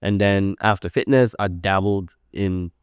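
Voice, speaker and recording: Low, smooth-voiced man in his thirties. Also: fairly even intonation, a little slow, dry recording, clean studio sound. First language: French. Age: 30s. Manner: chatty, rambling, many fillers. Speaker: guest, creative director. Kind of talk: casual interview.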